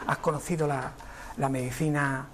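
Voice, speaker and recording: A man's voice: medium pitch, little pitch movement, normal speed, slight background noise. Man hosting a radio interview. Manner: friendly, leads with short questions. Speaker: radio host.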